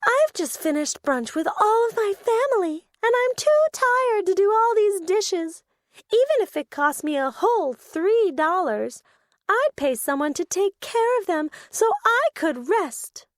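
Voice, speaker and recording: Casting: female reader playing a weary, dramatic storybook woman, high voice, big pitch swings. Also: big pitch swings, measured pace, dry recording, studio quality, exaggerated voice. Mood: weary, exasperated. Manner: dramatic, sighing. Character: Bertie, weary, sighing female storybook friend.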